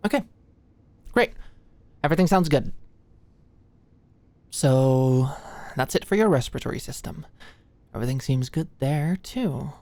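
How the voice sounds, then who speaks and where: Woman playing an anxious young med student, low-voiced. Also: animated, measured pace, pauses often, no room sound, full character voice. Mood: careful, nervous. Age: young adult. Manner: nervous, hesitant, stammering, softly attentive. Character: anxious, awkward young female medical student, easily flustered. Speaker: ASMR roleplay performer. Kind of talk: ASMR roleplay, intimate scripted one-sided dialogue. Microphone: close studio-style mic, dry.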